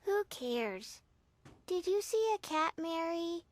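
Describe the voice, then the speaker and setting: A woman's voice: very high voice, sing-song, takes it slowly, no room sound, heavily acted. A woman voicing a plain-spoken, childlike little girl in a video game, with a cute way of talking. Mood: determined. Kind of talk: English video game dub acting.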